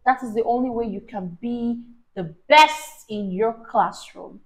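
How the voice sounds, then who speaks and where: A young woman with a high voice reads a children's story aloud. She takes her time, speaking expressively like an encouraging teacher, and checks in with questions as she explains the lesson. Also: a little slow, poor audio. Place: small indoor room on a sofa. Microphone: lapel mic.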